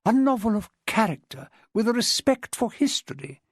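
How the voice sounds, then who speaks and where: A man's voice: high voice, big pitch swings, normal speed, no echo, studio quality, voice pushed up. A man voicing an imperious, fussy older woman who complains indignantly, in a storybook reading. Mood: rhapsodizing.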